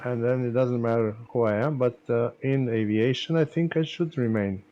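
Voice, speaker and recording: Serbian-accented man chatting haltingly. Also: medium pitch, dull audio. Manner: hesitant, halting with many fillers. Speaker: streamer. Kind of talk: casual chat on a live stream.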